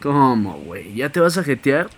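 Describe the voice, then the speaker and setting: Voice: mid-range voice, sing-song, moderate pace, breathy edge. An actor playing a cocky, foul-mouthed teenage boy with a Mexican accent in an audio drama, full of brash slang. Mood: brash, teasing. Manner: brash, crude banter.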